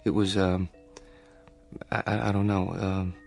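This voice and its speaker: Awkward, timid male loner, radio play; halting, courteous, slightly low voice, faint music behind. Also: little pitch movement, gravelly, darkish tone. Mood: flustered, earnest. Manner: hesitant, stammering, polite. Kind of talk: radio drama acting.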